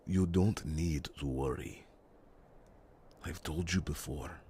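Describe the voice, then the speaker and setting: An extremely low-voiced, loyal guard captain played by a man in a fantasy audio roleplay. He speaks very slowly and formally, with breathy, solemn reassurance and long dramatic pauses. Faint background noise sits behind the voice. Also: mellow, full character voice. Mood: gentle reassurance. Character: loyal, earnest male captain of a royal guard.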